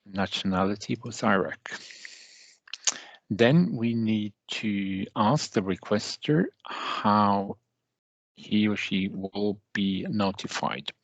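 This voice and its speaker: A man narrating a step-by-step software tutorial as a trainer, with halting, deliberate phrasing and hesitant pauses. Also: fairly low pitch, dry recording, dull audio.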